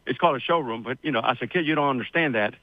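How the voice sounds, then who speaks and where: A man's voice: medium pitch, very fast, no room sound, narrow, tinny audio. A man in his sixties, born in Cuba, telling his family story as a radio guest in a rambling, chatty way, with many fillers. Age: 60s. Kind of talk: casual interview storytelling.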